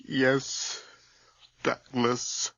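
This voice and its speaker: A man's voice: mid-range voice, completely flat delivery, extremely slow, noisy background. An actor playing a fearful, tormented man possessed by his twin in a radio horror drama, hesitant and stammering. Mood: confused, fearful. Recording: dull, muffled old radio recording.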